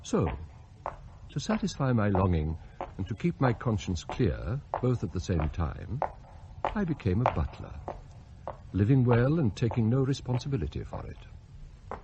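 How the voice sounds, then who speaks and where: Low, sly English butler. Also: male voice, sing-song, measured pace, long pauses, muffled recording, background noise. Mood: confiding, superior. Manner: articulate, pompous, sly, confiding. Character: scheming, cultured, self-satisfied English butler. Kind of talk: radio drama acting, first-person narration and dialogue.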